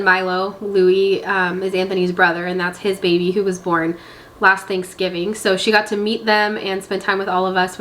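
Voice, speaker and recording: A woman's voice: medium pitch, fairly bright tone, a little hiss or hum. A woman with a nasal voice, congested from a head cold, talking casually to camera as a vlog host.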